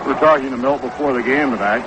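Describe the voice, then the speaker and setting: A man's voice: medium pitch, fast talker. An American man calling baseball play-by-play in the style of 1960s radio sports commentary. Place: stadium broadcast booth. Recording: dull, noisy 1960s AM radio broadcast.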